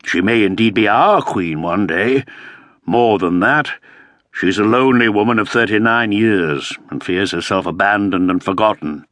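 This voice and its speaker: A man's voice: on the low side, moderate pace, fairly bright tone, no room sound, lightly acted. A man voicing a put-upon, aging Elizabethan earl who speaks in a plaintive, complaining way. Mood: aggrieved.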